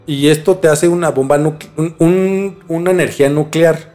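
A Mexican man hosting a podcast, telling things in an informal, halting way with some mild swearing. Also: on the high side, dark tone, soft background music. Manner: informal, halting, mildly profane. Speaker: podcast host. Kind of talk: casual storytelling discussion.

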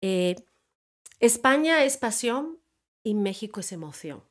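A woman from Spain chatting as an interview guest, telling animated stories and quoting other people. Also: fairly high pitch, slow pace. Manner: animated storyteller, quotes others, laughs often. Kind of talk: casual interview chat.

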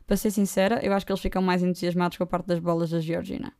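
A young woman with a European Portuguese accent hosting her own podcast, chatting casually and poking fun at herself with quick asides. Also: lowish voice. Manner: joking, self-mocking, lively asides. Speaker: podcast host. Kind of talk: casual solo podcast chat. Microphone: close podcast microphone.

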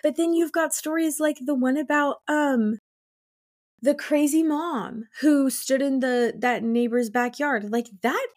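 Higher-voiced young-sounding woman chatting. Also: a little slow, a little mellow. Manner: informal, exclamatory, peppered with "like". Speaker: book podcast co-host. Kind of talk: casual book discussion.

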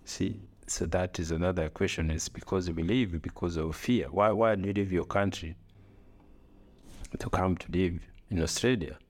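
A middle-aged man from Africa talking in casual conversation, earnest and halting, with grammar and repeated phrases. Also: low voice, fairly even intonation, a little mellow. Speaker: podcast co-host, father.

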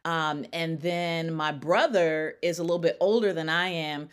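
A woman who grew up in Connecticut, a guest on a podcast, telling her story in a relaxed, chatty way with frequent fillers. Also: low voice, a little slow. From Connecticut, United States. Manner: animated storyteller, laughs often, many fillers. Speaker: podcast guest, music therapist. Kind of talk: casual podcast conversation.

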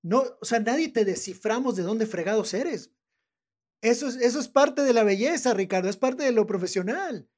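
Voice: mid-range voice, animated, moderate pace, darkish tone. A host with a northern Mexican accent asks questions in a casual, chatty way. The voice sits in a middle register. The tone is enthusiastic. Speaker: interview host. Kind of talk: casual interview chat.

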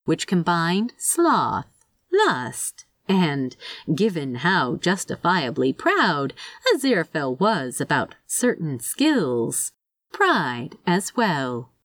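Slow, expressive low-voiced woman narrator. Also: no room sound. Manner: wry, conversational. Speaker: fan fiction narrator. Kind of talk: expressive scripted story narration.

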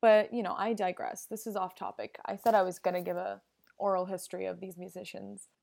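Young woman, solo podcast host; mid pitch, heavy vocal fry, earnest and digressive. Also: little pitch movement, a little fast, a little mellow. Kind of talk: casual solo podcast monologue.

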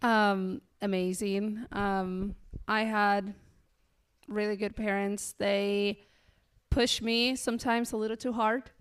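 Smooth-voiced young woman from Argentina. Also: mid-range voice, slow. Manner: relaxed, earnest, many ums. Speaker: guest. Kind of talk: casual interview storytelling.

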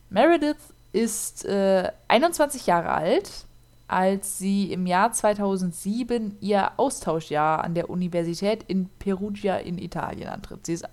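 A woman's voice: medium pitch, darkish tone. Woman podcaster, casual storyteller, filler-heavy. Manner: chatty, laughs often, many fillers. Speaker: podcast co-host telling a true crime case. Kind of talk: casual podcast chat and case narration.

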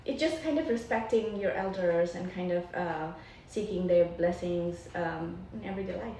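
A woman's voice: fairly low pitch, moderate pace, mellow, a little hiss or hum. A woman from Nepal, a dentist who owns her practice, chatting in an interview with many fillers and restarts. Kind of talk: casual interview chat. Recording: echoey room, distant mic.

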